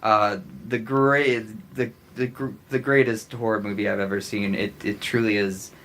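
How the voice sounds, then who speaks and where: A man's voice: on the low side, little pitch movement, fairly bright tone, a little hiss or hum. Emphatic American man co-hosting a podcast. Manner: emphatic, gushing, halting restarts. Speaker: podcast co-host. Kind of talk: casual chat.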